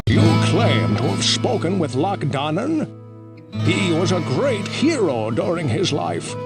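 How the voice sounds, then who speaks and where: An actor playing a grave, scholarly sage in a video game, telling ancient lore in a solemn, dramatic manner. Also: male voice, on the high side, very animated, slow, gravelly, full character voice. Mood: thoughtful, sombre. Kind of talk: video game voice acting, storytelling. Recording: loud music behind.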